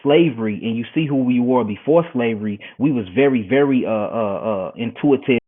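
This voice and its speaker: A man's voice: mid-range voice, fairly quick. A man, a guest herbalist, lecturing earnestly in a podcast interview, often repeating words as he starts a thought. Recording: tinny phone-like sound.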